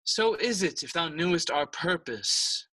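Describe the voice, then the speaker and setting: A man playing a stern, scheming king in a classical audio play, formal, commanding and measured. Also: on the high side, lively intonation, bright, forceful tone, no room sound, exaggerated voice. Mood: formal, controlled.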